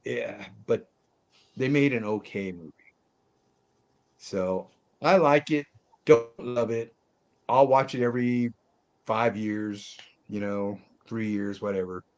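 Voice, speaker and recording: A man's voice: mid-range voice, slow pace, long pauses. Blunt, opinionated man chatting about films. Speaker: movie podcast co-host. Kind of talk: casual movie discussion.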